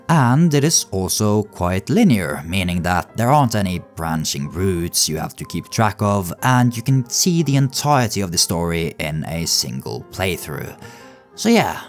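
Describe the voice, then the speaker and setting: A man narrating a scripted video essay in an explanatory way, adding his own opinions as he goes. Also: deep voice. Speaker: video essayist, channel host. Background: background music.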